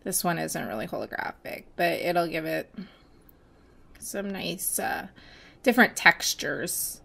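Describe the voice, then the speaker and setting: A woman chatting casually while mixing glitter, her voice going hoarse as she loses it, with many pauses and fillers. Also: lowish voice, animated, bright, forceful tone. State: losing her voice, hiccups. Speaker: nail art seller mixing glitter. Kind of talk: casual crafting voice-over.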